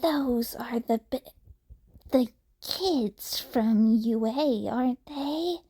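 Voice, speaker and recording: Sullen young male villain, played by a woman narrator: husky, slow, sardonic menace for an audio story. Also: on the high side, mellow, heavily acted. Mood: wary.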